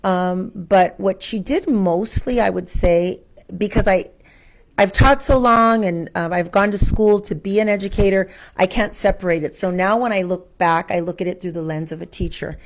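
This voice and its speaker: Reflective, expressive woman guest in conversation. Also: mid-range voice, normal speed, rough recording. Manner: reflective, expressive, quotes her own thoughts and asks rhetorical questions. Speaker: interview guest, schoolteacher. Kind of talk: conversational interview answers.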